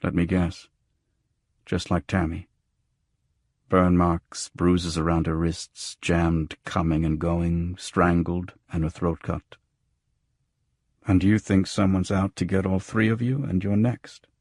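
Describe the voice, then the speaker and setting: A man playing a weary, hard-boiled detective in audiobook dialogue, husky and terse in his questioning. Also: low voice, little pitch movement, slow, a little mellow, no room sound, studio quality, heavily acted. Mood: weary, grim.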